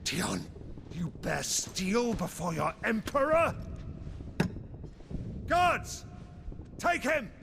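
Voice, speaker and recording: An imperious aging emperor barks commands slowly and with indignation in a video game cutscene. His pitch rises and falls dramatically. Loud background noise sits under the voice. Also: big pitch swings, gravelly, exaggerated voice. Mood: commanding. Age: older.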